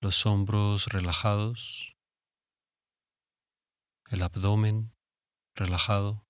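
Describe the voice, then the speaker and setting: A man leading a guided relaxation meditation in a calm, soothing, instructive way with long pauses. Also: lowish voice, no echo. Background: music.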